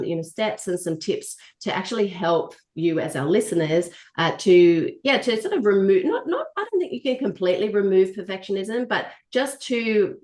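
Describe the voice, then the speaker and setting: A woman co-hosting a podcast, her voice husky from a mild cold, chatting casually and correcting herself mid-sentence. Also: fairly low pitch. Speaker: podcast co-host. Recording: roomy echo.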